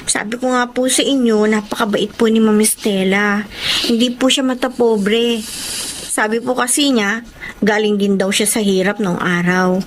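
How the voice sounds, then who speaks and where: A woman's voice: medium pitch, fairly even intonation, normal speed, a little hiss or hum, lightly acted. An actress playing a respectful, troubled young working woman in a Filipino radio drama. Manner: respectful, earnest.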